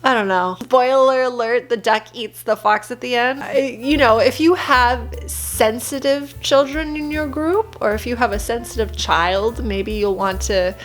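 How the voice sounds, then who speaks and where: A woman's voice: a little high, a little slow, few pauses. A woman chatting casually and expressively, recommending picture books like an enthusiastic preschool teacher.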